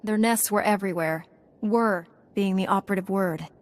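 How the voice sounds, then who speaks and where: An actress playing a composed, principled young female apprentice in a video game, calm and direct. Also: medium pitch, moderate pace, close to an everyday voice. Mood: firm, sympathetic. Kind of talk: video game dialogue acting.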